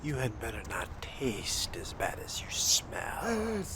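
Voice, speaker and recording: A man's voice: mid-range voice, huge pitch swings, takes it slowly, in a whisper. A man voicing a reluctant, sardonic young man newly turned vampire in a game role-play, with a dry, understated delivery. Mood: dry, disgusted. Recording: clear background ambient noise.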